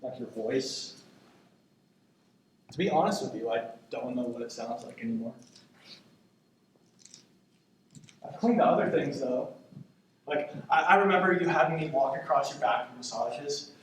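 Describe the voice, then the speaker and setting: A man's voice: medium pitch, lively intonation, normal speed, natural voice. A man acting a tender grandson in his twenties in a stage monologue, confiding in his departed grandmother in a reflective, hesitant, conversational way. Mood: wistful, reflective. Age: 20s. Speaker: stage actor in a student play. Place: indoor stage, recorded from afar. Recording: echoey, distant stage recording with noise.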